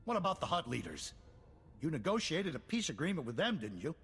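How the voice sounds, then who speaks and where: An actor playing an earnest, resolute colony leader in a science-fiction video game, speaking in a formal, emphatic way. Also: male voice, a little high, big pitch swings, brisk, fairly bright tone, full character voice. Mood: defensive. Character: earnest middle-aged male colony leader, grateful and resolute. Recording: clear background noise and ambience.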